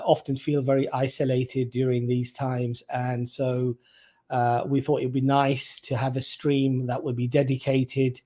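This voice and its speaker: A man hosting a livestream, speaking in a rambling, casual way with many filler pauses. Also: mid-range voice, darkish tone. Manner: filler-heavy, rambling, friendly. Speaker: livestream host.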